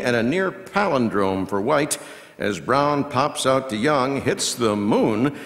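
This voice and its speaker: Reverberant hall. Seasoned male baseball commentator performed by a man, folksy, highly animated intonation, measured patter and punchy calls. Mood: punchy, excited. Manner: measured asides breaking into punchy, drawn-out calls. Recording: long hall reverb from live venue.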